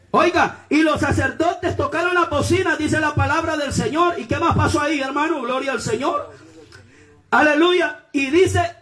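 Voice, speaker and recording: A man preaching a fervent Pentecostal sermon, exclaiming and often shouting, breaking in with praise and rhetorical questions. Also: very high voice.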